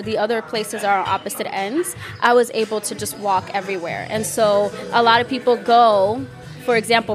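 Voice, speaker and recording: A woman with a Dominican accent, a podcast guest, casually and frankly telling a travel story in a bar. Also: fairly high pitch. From Dominican Republic.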